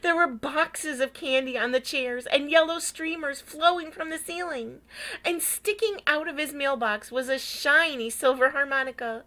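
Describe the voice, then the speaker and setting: A woman reading a picture book aloud to children in an animated, dramatic way, dropping into hushed asides. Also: high voice, normal speed. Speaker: children's story reader.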